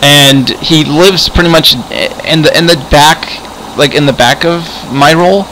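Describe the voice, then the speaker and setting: A man chatting casually in improvised game roleplay, rambling and often repeating words haltingly. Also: on the high side, bright, forceful tone, a little hiss or hum, rough recording. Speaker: host and player in a roleplay game video. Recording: music behind the voice. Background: music.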